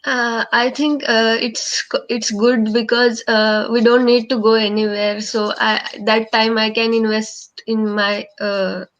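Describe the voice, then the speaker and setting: A woman's voice: on the high side, level tone, a little slow, few pauses. A woman with an Indian accent, a programme participant, speaking hesitantly with many restarts over a video call. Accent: Indian English. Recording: muffled video call audio.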